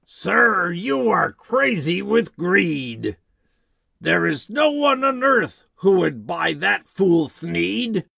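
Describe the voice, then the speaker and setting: Man voicing a bossy, animated little old forest creature. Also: sits high, very slow, creaky, slightly rough, no echo, studio quality, pitched above the performer's own voice, exaggerated voice. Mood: indignant. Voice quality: sharp. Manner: bossy, insistent. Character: small old mossy bossy tree-guardian creature, indignant.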